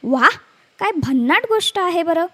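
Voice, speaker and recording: Woman putting on a loud, high-voiced hungry wolf. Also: animated, moderate pace, no room sound. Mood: amazed. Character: hungry male wolf, bossy and gullible. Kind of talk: children's storybook acting.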